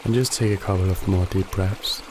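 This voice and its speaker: A man guiding a body scan meditation in a calm, gently instructive way with long pauses. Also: low voice, background noise. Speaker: meditation guide. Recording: loud running water behind the voice. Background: trickling stream water ambience.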